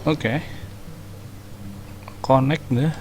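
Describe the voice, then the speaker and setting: A man's voice: mid-range voice, very slow, darkish tone. A young Indonesian man giving casual, slangy gameplay commentary as a streamer. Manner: informal, slangy. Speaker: gaming streamer. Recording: game music behind the voice. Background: game music.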